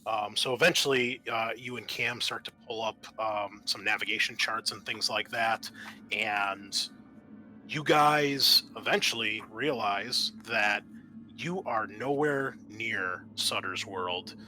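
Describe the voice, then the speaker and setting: A man's voice: fairly low pitch, slow, sharp tone, soft background music. A man narrating a tabletop game as game master in a conversational, explanatory way.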